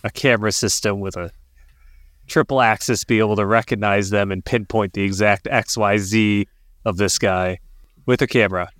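Low-pitched wry man, dry recording. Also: steady tone, normal speed, a bit bright, dry recording. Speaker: podcast panelist. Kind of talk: casual joking chat.

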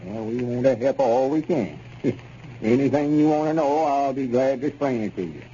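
A man plays a bossy know-it-all country storekeeper in a radio comedy. His mid-pitched voice is dark and mellow, with a rural Arkansas drawl, pompous and folksy, on a dull, noisy 1930s recording. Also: moderate pace, lightly acted. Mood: eager, self-important.

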